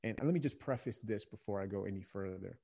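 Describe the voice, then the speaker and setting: Mellow, earnest young man, low-pitched. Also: fast talker, vocal fry, husky. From Virginia, USA. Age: young adult. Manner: earnest and reflective, often restarts phrases while searching for words. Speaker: podcast guest, young adult ministry leader. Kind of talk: casual conversational interview.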